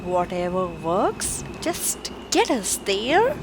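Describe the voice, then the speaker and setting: A woman's voice: medium pitch, huge pitch swings, slow, background noise. A woman reads a rhyming picture book aloud for preschoolers in a theatrical, exclamatory, rhythmic way, with music behind her. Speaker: children's book reader.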